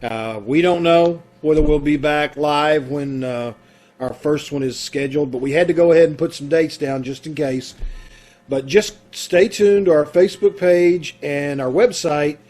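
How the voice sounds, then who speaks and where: A man's voice: fairly high pitch, normal speed, a little mellow, soft background music. Upbeat, folksy man hosting a sign-off. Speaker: troupe host. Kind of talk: informal show sign-off. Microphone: video call. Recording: home video-call recording.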